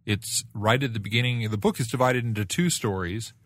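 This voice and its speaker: A man's voice: medium pitch, a little fast, a bit bright. A man co-hosting a casual comics chat, talking in a wry, mock-serious way. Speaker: podcast co-host.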